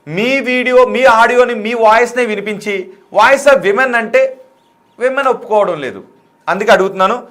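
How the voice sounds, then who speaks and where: Fast, high-pitched man hosting live. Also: a bit bright. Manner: insistent, repeats appeals, code-switches into English words. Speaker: live TV news debate host. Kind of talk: live call-in debate, addressing viewers.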